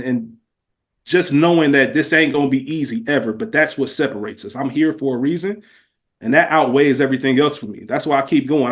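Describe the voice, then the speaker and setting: A man co-hosting a podcast in casual, heartfelt conversation, speaking earnestly in informal, slangy language and asking probing questions. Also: medium pitch. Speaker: podcast co-host.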